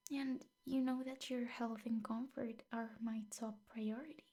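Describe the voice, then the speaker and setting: A woman playing a possessive, lovesick teacher who dotes on her captive in a soft, often whispered ASMR roleplay, coaxing with hesitant pauses. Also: a little high, slightly rough, exaggerated voice. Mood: insistent, coaxing.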